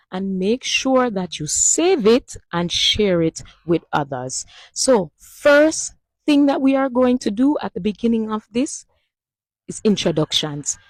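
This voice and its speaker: A woman's voice: medium pitch, darkish tone, dry recording. A woman giving a playful mock lecture that welcomes students to a course. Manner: teacherly, announcing a course. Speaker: social media creator.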